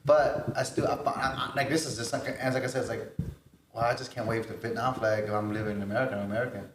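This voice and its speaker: A man chatting casually and slangily as a podcast panelist, with an informal, halting delivery. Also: mid-range voice, fairly even intonation. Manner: informal, halting, often addresses listener as bro or man. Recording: echoey room with clear background noise.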